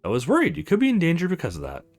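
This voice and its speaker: Man voicing Orion, an earnest hero with lively pitch. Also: very fast, vocal fry, faint music behind, heavily acted. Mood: worried. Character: earnest young male hero, Orion, protective.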